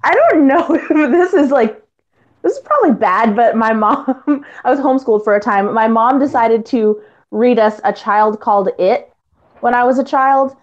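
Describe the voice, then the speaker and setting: A woman's voice: fairly high pitch, animated, normal speed. A woman in casual chat as a podcast guest, hesitant and a little giggly, on dull lo-fi audio.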